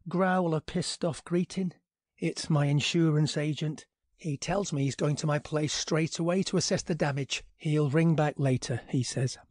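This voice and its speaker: A man's voice: on the high side, a little mellow, no room sound, natural voice. An actor playing an irritable, put-upon male tenant who tells his story in a sardonic, grumbling, slangy audio drama monologue. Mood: grumpy.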